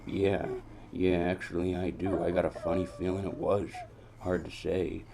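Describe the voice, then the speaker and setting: Guy doing a gruff world-weary noir detective, real low voice, sardonic slang, noisy background. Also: level tone, moderate pace. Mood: gruff, fed up. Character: hard-boiled, world-weary male private detective. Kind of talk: old noir radio drama acting.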